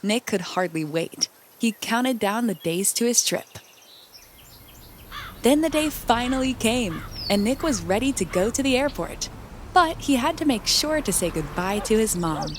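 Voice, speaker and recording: A woman with a fairly high voice reads a children's story aloud. She narrates in storybook style, with clear noise behind her on the recording. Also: lively intonation, measured pace. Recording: clearly noisy background.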